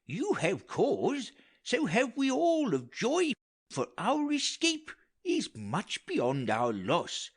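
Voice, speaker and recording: A man's voice: high-pitched, wildly swooping pitch, slow, breathy edge, pitched above the performer's own voice, full character voice. A man voicing a talkative, optimistic old royal counsellor in an earnest, wordy Shakespearean audiobook reading. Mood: earnest, consoling.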